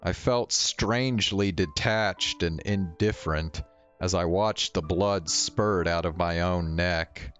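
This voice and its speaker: Low-voiced guy streaming a visual novel, takes his time, music playing behind him. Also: takes it slowly, a bit bright. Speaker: game streamer. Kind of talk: casual playthrough commentary, reading visual novel text aloud. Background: game music.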